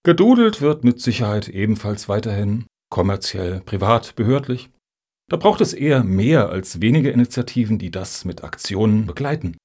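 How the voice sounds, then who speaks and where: A man acting in a radio satire as a high-minded cultural activist with a wry, genteel manner. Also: sing-song, normal speed, darkish tone, husky, heavily acted. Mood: complacent.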